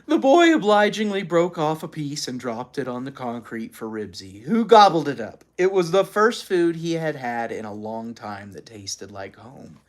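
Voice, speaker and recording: Animated storyteller with very lively pitch. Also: normal speed, few pauses. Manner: animated, acts out characters' lines. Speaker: storyteller reading aloud. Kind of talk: expressive children's book read-aloud.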